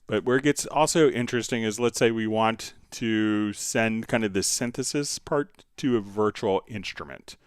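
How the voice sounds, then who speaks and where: A man with a fairly low, smooth and resonant voice walks viewers through software on a live stream. He talks casually and thinks aloud, pausing hesitantly as he demonstrates each step. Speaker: software tutorial host.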